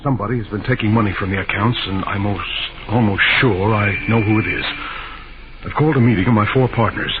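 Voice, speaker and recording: A male actor plays an anxious, secretive banker in a vintage radio serial. He confides urgently and insistently in a low voice. The sound is narrow and tinny, under very loud noise. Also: quick pace, lightly acted. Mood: insistent, confiding. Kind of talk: old-time radio drama acting. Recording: very noisy, thin old radio recording.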